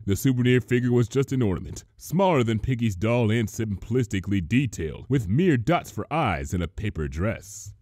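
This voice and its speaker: A man narrating a scripted story as an expressive reader. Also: medium pitch, normal speed, few pauses, dry recording, studio quality. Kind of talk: scripted narration, expressive fan fiction reading.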